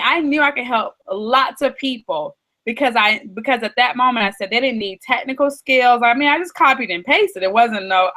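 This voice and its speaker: A middle-aged woman guest, hoarse from losing her voice, telling her story in a casual, animated way and acting out her own reactions. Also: on the high side. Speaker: interview guest, online seller.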